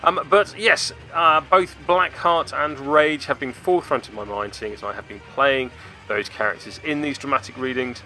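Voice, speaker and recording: Bright-voiced man hosting game commentary. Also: mid-range voice, normal speed, faint music behind, rough recording. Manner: jokey, self-deprecating, theatrical turns of phrase. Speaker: web series host. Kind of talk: tabletop game video commentary.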